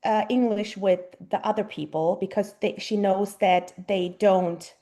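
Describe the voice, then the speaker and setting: A German-born woman in her thirties, a native German speaker, answering interview questions thoughtfully with frequent pauses and ums. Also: mid-range voice, fairly even intonation, dark tone. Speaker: guest mother. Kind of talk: casual interview conversation.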